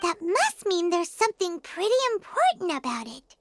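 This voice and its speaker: A woman's voice: huge pitch swings, moderate pace, a little hiss or hum, full character voice. A woman voicing a tiny floating girl companion who talks about herself in the third person, chirpy and eager. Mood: curious. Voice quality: very high squeaky childlike voice. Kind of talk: game voice acting.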